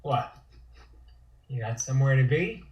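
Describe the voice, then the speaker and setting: A man's voice: mid-range voice, lively intonation, measured pace, a little mellow, highs cut off, lightly acted. Man acting a dry, needling detective. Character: dogged, sardonic male police investigator. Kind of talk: period crime table-read acting. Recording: roomy, distant microphone.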